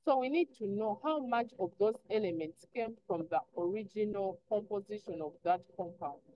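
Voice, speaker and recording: A woman teaches an online class in an instructive way, explaining step by step at a medium pitch. She poses short prompting questions and answers them herself. The audio is dull and lo-fi. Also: normal speed, no echo. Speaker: chemistry teacher.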